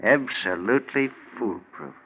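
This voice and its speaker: Cocky, hard-boiled crook, muffled recording. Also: male voice, lowish voice, expressive, measured pace, over loud music. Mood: smug, scheming. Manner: hard-boiled, insistent, confident. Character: cocky, cold-blooded male crook, scheming ringleader. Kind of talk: 1940s radio acting. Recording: dull 1940s radio recording.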